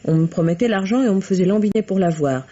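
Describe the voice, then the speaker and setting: A woman's voice: mid-range voice, quick pace, dark tone, close to an everyday voice. An actress playing an evasive, wounded young woman under police questioning in a radio mystery, hesitant and pleading. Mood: wistful, confiding. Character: evasive, wounded young woman suspected of murdering her husband. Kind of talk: 1950s French radio mystery acting. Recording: muffled vintage radio drama sound.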